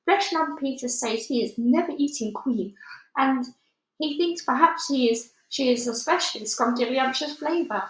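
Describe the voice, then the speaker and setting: Woman voicing a gentle, gloomy giant, high-pitched. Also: normal speed, reverberant, close to an everyday voice, low-quality recording. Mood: matter-of-fact. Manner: garbled, ungrammatical folksy speech. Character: big friendly male giant, gloomy and gentle.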